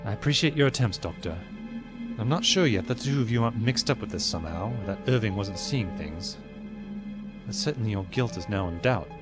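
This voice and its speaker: An actor playing a dutiful, wary naval lieutenant in a period audio drama, speaking with formal military deference. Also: male voice, on the low side, expressive, fairly quick, music in the background, lightly acted. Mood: sombre, guarded.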